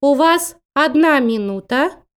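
A woman announcing exam instructions in a formal, deliberate manner. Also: high-pitched, expressive. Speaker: test announcer.

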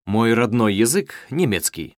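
A man reading as a polite young male student, lively intonation, dry studio sound, careful clear delivery. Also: medium pitch, measured pace, no echo. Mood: friendly. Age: young adult. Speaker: dialogue actor in a listening test. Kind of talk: scripted textbook dialogue.

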